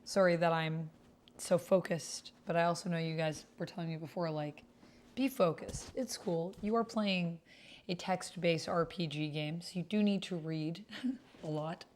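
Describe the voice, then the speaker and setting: A woman's voice: on the low side, fairly even intonation, a little slow, darkish tone. A woman streamer chatting casually and thinking aloud as she plays and reads out a text-based roleplaying game. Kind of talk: casual livestream chat with typed roleplay read aloud.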